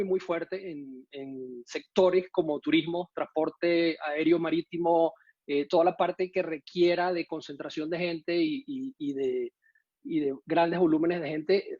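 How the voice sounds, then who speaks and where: A man from Venezuela speaks Spanish with a Venezuelan accent touched by Mexican usage, giving a conference talk. His voice sits a bit high, and he often pauses on fillers and restarts his phrases. The sound is dry and somewhat muffled, like a video call. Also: darkish tone, no echo. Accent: Venezuelan Spanish with some Mexican usage. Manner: hesitant, many fillers and restarts.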